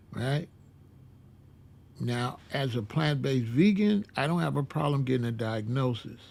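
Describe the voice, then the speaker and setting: A man in his sixties telling a personal story in a casual, rambling way, repeating himself and filling pauses with "uh". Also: mid-range voice, creaky, darkish tone. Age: 60s. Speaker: vlogger.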